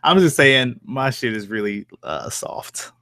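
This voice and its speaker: A young man with a soft voice hosting a livestream, chatting casually in rambling, slangy, profane talk. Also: medium pitch, animated, unhurried, no room sound, studio quality. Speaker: livestream host, horror story narrator. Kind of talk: casual livestream chat with viewers.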